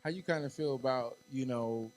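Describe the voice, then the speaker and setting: A man hosting a relaxed podcast chat. He keeps things easygoing, chiming in with quick agreement, and his voice sits in an ordinary middle pitch. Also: a little hiss or hum. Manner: laid-back, agreeable, quick backchannels. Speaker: podcast host. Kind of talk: casual podcast interview.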